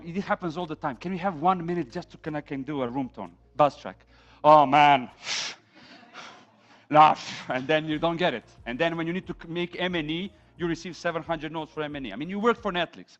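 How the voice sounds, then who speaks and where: A middle-aged man with a Serbian accent lecturing a class on film sound, animated, acting out quotes from set, and checking in with "yeah?". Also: fairly high pitch, fairly quick, dull audio.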